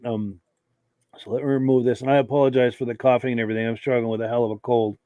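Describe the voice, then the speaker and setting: A man's voice: mid-range voice, level tone, fast talker, no echo, lo-fi sound. A man hosting a live podcast with a cold, chatting casually and reading viewer comments aloud as he goes. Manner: easygoing, thinks aloud while he searches. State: hoarse from a cold. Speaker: podcast host.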